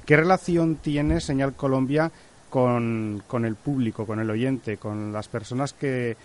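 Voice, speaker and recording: A man's voice: medium pitch, a little slow, darkish tone. A man with an accent from Spain asking long, formal questions in a radio interview. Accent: Spanish, from Spain.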